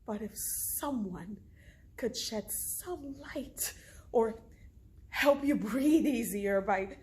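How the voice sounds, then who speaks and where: A woman plays an anguished mother fearing for her child. Her voice sits fairly high, and she pleads with emphasis and deliberate pauses, in the manner of a dramatic stage monologue. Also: lively intonation, darkish tone. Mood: pleading, tender.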